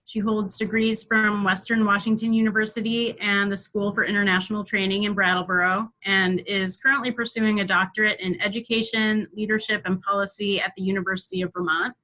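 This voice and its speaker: A woman moderating an online town hall, reading a smooth scripted introduction of the next guest. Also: medium pitch, little pitch movement, a little fast, few pauses, pushed and bright.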